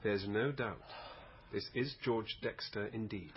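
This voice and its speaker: An actor playing a grave, pompous doctor in a period radio drama, formal and deliberate. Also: male voice, lowish voice, lightly acted. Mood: authoritative. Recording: dull radio recording, faint noise.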